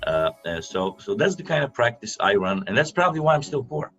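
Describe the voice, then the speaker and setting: A man's voice: medium pitch, big pitch swings, fairly quick, a bit bright, muffled recording, faint music behind. A man in his fifties from Bulgaria, a dentist guest talking at length in a casual interview, rambling with many fillers over a video call.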